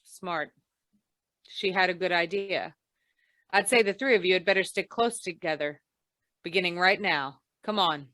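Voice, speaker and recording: Hard-boiled noir investigator, played by a woman, lowish pitch, dry sound, wry, commanding, old-time radio style. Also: normal speed, long pauses, bright, forceful tone, no echo. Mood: cool, commanding.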